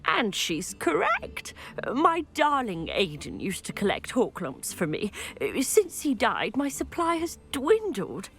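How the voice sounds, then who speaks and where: A woman's voice: fairly high pitch, wildly swooping pitch, slow pace, hardly any pauses, a bit bright, heavily acted. An actress playing a kindly old widowed village witch in a video game, with a fond, mournful manner. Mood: fond, sorrowful. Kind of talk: video game cutscene dialogue.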